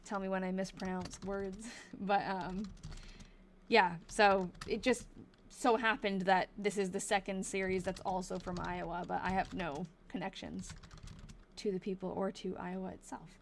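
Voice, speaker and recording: A woman from Massachusetts reads a handwritten diary to her live viewers, pausing to puzzle over words and think out loud. Her voice sits at an ordinary pitch for a woman, with faint keyboard tapping and room hum behind her. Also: little pitch movement. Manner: casual, thinks aloud, slows down over hard-to-read words. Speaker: live-stream host. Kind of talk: reading an old diary aloud and chatting with viewers.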